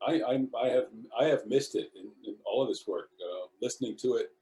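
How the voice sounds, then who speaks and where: A man speaking as an actor on a panel, reflective and measured, correcting himself as he goes. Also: medium pitch, mellow, low-quality recording.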